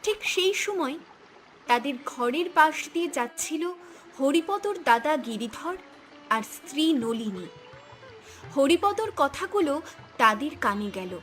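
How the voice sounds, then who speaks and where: A female narrator tells a cartoon tale in a dramatic, scripted style. Her voice is high, and faint music plays underneath. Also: sing-song, unhurried, long pauses, fairly bright tone.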